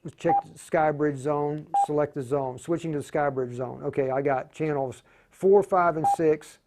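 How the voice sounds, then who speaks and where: A man with a slightly high voice is hosting a hands-on tutorial. He explains things informally, stopping and starting as he thinks aloud, like a hobbyist radio operator showing the steps. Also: normal speed, noisy background. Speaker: livestream tutorial host, amateur radio operator. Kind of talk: casual hands-on demonstration.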